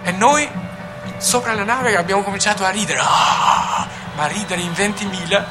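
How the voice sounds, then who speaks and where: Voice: mid-range voice, moderate pace, over music. A speaker telling a remembered event in a colloquial way.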